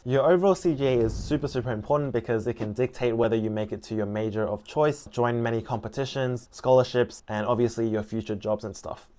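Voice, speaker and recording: Young male student, mid-pitched, talks through study tips in an earnest, clear vlog voice. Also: darkish tone. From international student. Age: young adult. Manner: earnest, explanatory, quick. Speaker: student vlogger. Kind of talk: scripted advice vlog narration.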